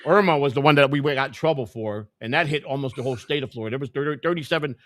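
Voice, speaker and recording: A man's voice: mid-range voice, racing, a bit bright, no room sound, clean studio sound. A man with a Chicago accent, co-hosting a casual livestream chat and rambling with many repeated words and restarts. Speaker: co-host.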